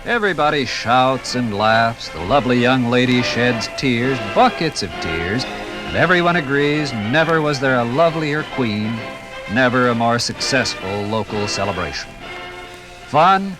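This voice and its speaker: A man's voice: medium pitch, expressive, measured pace, over music, poor audio. A man giving theatrical, rhetorical scripted narration as the narrator of a 1950s radio documentary.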